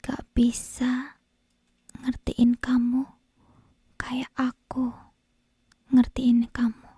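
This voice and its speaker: A woman playing an obsessive, possessive, lovesick kidnapper girl in an ASMR roleplay, whispering breathily and menacingly close to the microphone with lingering pauses. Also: high voice, monotone, mellow, husky, dry recording, heavily acted. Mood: scornful, possessive. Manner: slow, lingering phrases broken by pauses. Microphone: close binaural microphone.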